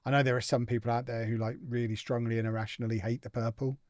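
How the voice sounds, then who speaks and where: A man's voice: mid-range voice, level tone, fast talker, darkish tone, no room sound. Chatty man, dry close sound. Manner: chatty, reads viewer comments aloud and reacts with amused indignation. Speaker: game streamer. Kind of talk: casual live-stream chat while playing.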